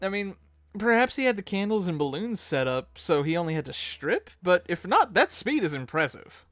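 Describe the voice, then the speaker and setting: A man's voice: sits high, big pitch swings, moderate pace, fairly bright tone, dry recording. A man co-hosting a fan show, delivering scripted back-and-forth commentary.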